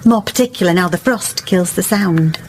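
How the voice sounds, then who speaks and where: A woman's voice: lowish voice, normal speed, a little mellow, a little hiss or hum, natural voice. A woman playing a kindly, pious village wife in a radio drama, chatty and earnest, with a rural English accent. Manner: chatty, homely, earnestly persuasive.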